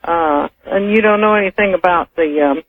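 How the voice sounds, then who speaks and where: An American woman calling a radio show, arguing her opinion in a halting, unscripted way. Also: on the low side, no room sound. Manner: halting, argumentative. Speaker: call-in radio caller. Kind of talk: unscripted opinionated phone talk. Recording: dull telephone line on AM radio.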